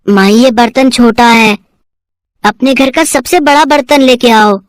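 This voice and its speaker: A young boy god from a devotional cartoon tale, acted by a woman, high-voiced and sweet. He speaks with calm, gentle insistence, recorded close and dry. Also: a little slow, no echo, natural voice. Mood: gently instructing. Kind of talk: devotional cartoon storybook voicing.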